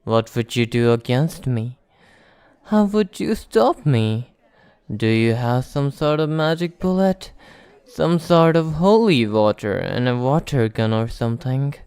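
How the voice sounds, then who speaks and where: Slow, smooth-voiced sly male vampire played by a man. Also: mid-range voice, expressive, darkish tone, dry recording. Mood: teasing, mocking. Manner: slow, deliberate, mocking, condescending. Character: sly, teasing male vampire posing as small-town informant. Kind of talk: ASMR roleplay monologue to the listener.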